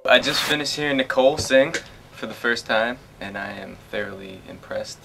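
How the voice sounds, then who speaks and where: A man's voice: medium pitch, normal speed, vocal fry, very noisy. A young man in a recording studio, talking enthusiastically and casually. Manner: enthusiastic, laughing. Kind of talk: casual praise to camera.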